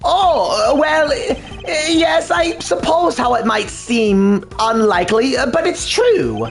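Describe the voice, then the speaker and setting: A man's voice: extremely high pitch, very animated, takes it slowly, gravelly, soft background music, voice pushed up, heavily acted. A man voicing a jittery young male pet stylist suspect who stammers nervously and swaps his R's for W's. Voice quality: slight lisp replacing R's with W's. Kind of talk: fan dub of a video game.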